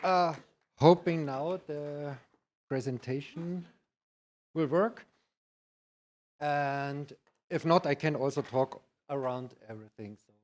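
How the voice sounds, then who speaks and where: A middle-aged or older man with a Bavarian German accent, giving a conference presentation in halting, non-native English. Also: fairly high pitch. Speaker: conference speaker, IT practitioner.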